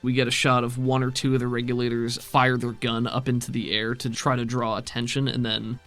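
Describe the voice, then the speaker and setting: A man's voice: medium pitch, level tone, a little fast, fairly bright tone, faint music behind. A man narrating and refereeing a tabletop roleplaying game as game master.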